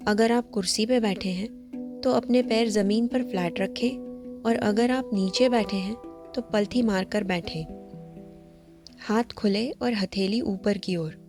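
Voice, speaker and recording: A woman leading a scripted guided meditation, giving gentle, measured instructions. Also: mid-range voice. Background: background music.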